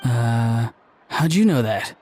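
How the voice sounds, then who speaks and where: An actor playing a laid-back young man in a video game, deadpan and teasing. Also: mid-range voice, slow pace, faint music behind. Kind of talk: video game cutscene voice acting.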